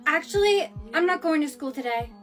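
Casting: woman as earnest teen schoolgirl, high voice, emotional melodrama delivery. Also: expressive, fairly quick, fairly bright tone, soft background music. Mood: casual. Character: earnest, emotional teenage schoolgirl, hurt and defiant. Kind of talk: acted short melodrama skits.

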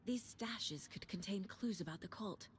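A woman playing a composed, serious FBI investigator in a video game, in a hushed, deliberate manner. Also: mid-range voice, background noise, natural voice. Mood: hushed, pensive. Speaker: video game character actor. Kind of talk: naturalistic game acting, inner monologue.

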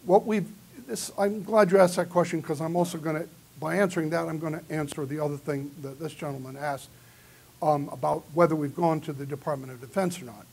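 Husky man giving an emphatic keynote. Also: on the high side, fairly even intonation, a little fast, a little mellow. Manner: earnest, emphatic lecturing. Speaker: keynote speaker. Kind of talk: conference keynote with audience questions. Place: large conference hall with round tables. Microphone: far camera in the audience.

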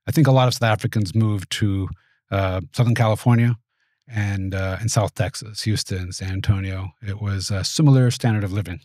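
A man in his forties, raised in the United States, giving thoughtful, measured interview answers in a small studio. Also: fairly low pitch, steady tone, darkish tone, dry recording. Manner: measured, thoughtful, with frequent restarts. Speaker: guest, venture capitalist. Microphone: studio mic on boom arm.

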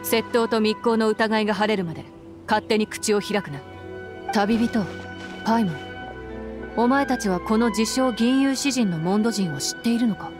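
A woman's voice: medium pitch, normal speed, music in the background, close to an everyday voice. A woman playing a stern female military commander in a Japanese game, formal, curt and authoritative.

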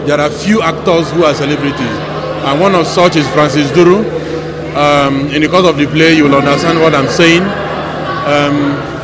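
A middle-aged Nigerian man answers in an interview, speaking as a director. His voice is fairly high, with clear room noise behind it. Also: fairly even intonation, moderate pace. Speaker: stage director and lecturer. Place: bare indoor backstage room. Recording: noisy room ambience.

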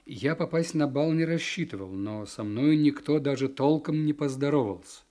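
An actor playing an earnest, inquisitive official in a radio drama, speaking in a measured, educated way. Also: male voice, fairly high pitch, warm tone, lightly acted. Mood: puzzled. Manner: measured, educated, probing questions. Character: earnest, inquisitive male official, new district chief. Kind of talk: Soviet radio drama acting.

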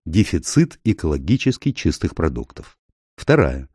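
A man reading a nonfiction audiobook in measured phrases, stressing key words, close to the microphone. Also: rumbling low, sing-song, darkish tone, husky, no room sound. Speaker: audiobook narrator. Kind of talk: scripted nonfiction audiobook narration.

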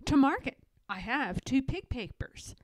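Woman as a high-voiced timid boy pig. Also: big pitch swings, measured pace, no echo. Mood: hesitant, polite. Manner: shy, earnest, polite. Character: timid, worried, polite young male pig.

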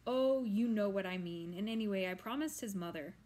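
A woman voicing a loud scolding old witch. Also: medium pitch, brisk, close to an everyday voice. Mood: indignant.

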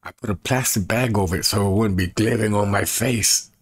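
A man in his fifties with a husky voice, chatting casually to camera in a folksy way and repeating himself as he demonstrates. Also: fairly low pitch, fairly quick, dry recording. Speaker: evangelist and video creator. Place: small bedroom, indoors.

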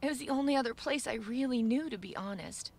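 A woman giving measured, subdued first-person narration for an animated story video. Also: fairly high pitch, gravelly.

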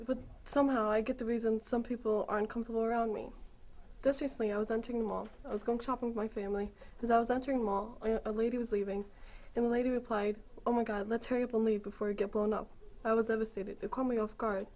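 A woman's voice: on the high side, fairly even intonation, quick pace, a little mellow. A teenage girl giving an earnest, composed personal testimony. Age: teens. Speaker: student.

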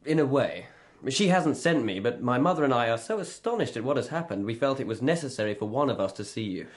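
An actor playing a blunt, protective brother-in-law in a period radio drama, polite but pointed and formal. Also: male voice, mid-range voice, animated, quick pace, natural voice. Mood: pointed, reproving.

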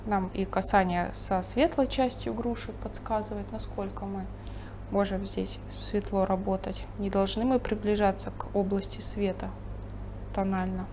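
Lady painter explaining as she goes, calm, noticeable hiss behind her. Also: medium pitch, moderate pace. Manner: thinking aloud while painting, calm and explanatory. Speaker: painting instructor. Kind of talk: painting demonstration with commentary. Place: studio table, overhead camera on the painting.

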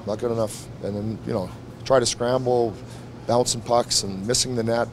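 A man's voice: medium pitch, steady tone, normal speed, slight background noise. A middle-aged man, a head coach, answering reporters bluntly after a game, repeating his points. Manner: blunt, frank, repeats himself. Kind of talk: postgame press conference. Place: arena press room before a team backdrop. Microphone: several handheld mics held close.

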